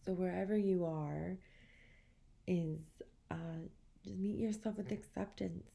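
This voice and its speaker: Slow, gravelly-edged woman guiding relaxation. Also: fairly low pitch, fairly even intonation. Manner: soothing, gentle, measured with long pauses. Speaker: guided meditation instructor. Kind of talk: guided relaxation meditation.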